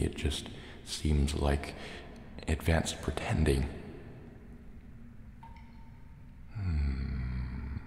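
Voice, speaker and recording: Very deep, gravelly sea serpent dragon, acted by a man. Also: exaggerated voice. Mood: wary, curious. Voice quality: gravelly, softly spoken. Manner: slow, hesitant, formal wording. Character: wary, brooding male sea serpent dragon in a cave. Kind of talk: soft-spoken ASMR roleplay. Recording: cavernous echo, long reverb, faint water noise.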